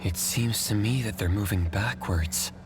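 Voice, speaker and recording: A man's voice: fairly low pitch, a little slow, over music, close to an everyday voice. An actor playing an earnest young male adventurer in a video game, inquisitive and often thinking aloud.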